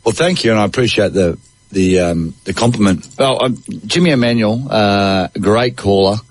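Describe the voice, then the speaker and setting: A man's voice: fairly low pitch, moderate pace, no room sound. A middle-aged man with an Australian accent, hosting casual sports radio chat in a matey, conversational way. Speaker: sports radio host and golf commentator. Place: radio studio.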